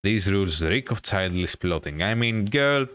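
A man hosting a gaming video, reading a visual novel aloud in a dramatic, drawn-out storytelling way with casual commentary. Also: on the low side, animated, a bit bright.

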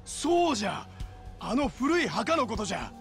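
An actor playing an earnest, deferential young yakuza underling in a game cutscene, explaining things with a Hiroshima accent. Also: male voice, extremely high pitch, big pitch swings, normal speed, creaky, fairly bright tone, faint music behind, exaggerated voice. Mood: excited. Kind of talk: video game cutscene acting.

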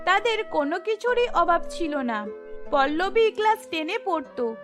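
A woman's voice: very high voice, animated, measured pace. A woman storyteller reading scripted narration for a cartoon, with music underneath.

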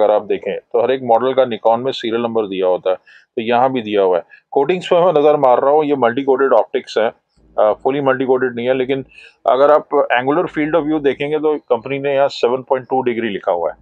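A man's voice: mid-range voice, a little mellow, no echo, muffled recording. A middle-aged man in a shop, explaining binoculars in a product review, hesitating often with "uh" fillers. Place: binocular shop, indoors, shelves of boxes. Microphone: lapel mic.

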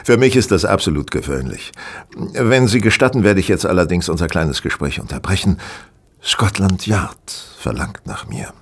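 A man playing a brilliant, self-assured gentleman detective in a German audio drama, explaining things deliberately with casual superiority. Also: deep voice, lively intonation, creaky, exaggerated voice. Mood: amused, superior.

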